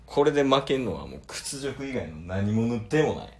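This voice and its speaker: A man's voice: mid-range voice, big pitch swings, normal speed, vocal fry. A man acting a proud, competitive contestant rival in an amateur radio drama, talking bluntly and informally with a Kansai accent. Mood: fiercely determined. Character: competitive older male rival on a dating show, proud and determined.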